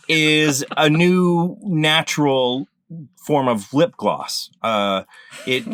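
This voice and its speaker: A middle-aged man improvising casual comic definitions as a panelist, hesitant and deadpan. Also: mid-range voice, no echo. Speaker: comedy game panelist. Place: room at home, video call.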